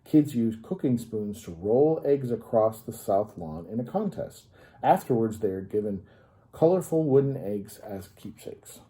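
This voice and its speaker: An American man reading a history story aloud to children, pausing to add simple informal explanations. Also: on the low side, very animated, dark tone. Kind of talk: read-aloud storytelling with informal asides to young listeners.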